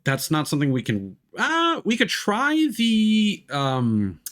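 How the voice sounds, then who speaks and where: A man's voice: sits high, huge pitch swings, takes it slowly, fairly bright tone, clear, resonant tone. A male livestreamer giving casual gameplay commentary, thinking aloud in a rambling, hesitant way. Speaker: video game livestreamer. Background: game music.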